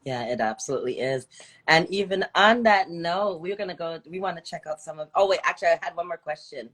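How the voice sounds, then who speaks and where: A woman's voice: on the low side, a little fast, fairly bright tone. A woman hosting a casual live interview, chatting informally and encouraging her guest with affirmations. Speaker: interview host. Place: video livestream call.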